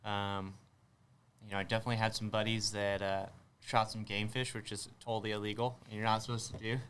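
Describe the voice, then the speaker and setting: A man in his twenties with an American accent and a fairly low voice. He answers interview questions in a casual, hesitant way. There is noticeable noise behind him. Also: flat delivery, brisk, fairly bright tone. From south Texas, United States. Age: 20s. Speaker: guest, spearfisher.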